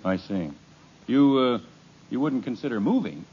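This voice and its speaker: A man's voice: mid-range voice, animated, measured pace, heavy background noise, lightly acted. An actor playing a harried suburban father who is sarcastic and exasperated, in 1950s radio comedy. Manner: exasperated, wry. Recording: muffled old radio broadcast.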